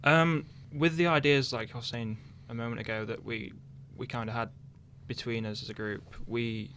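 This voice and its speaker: A man's voice: medium pitch, steady tone, normal speed, fairly bright tone, slight background noise. A young man chatting casually in a radio interview, thinking aloud hesitantly. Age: young adult. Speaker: interviewed radio drama writer.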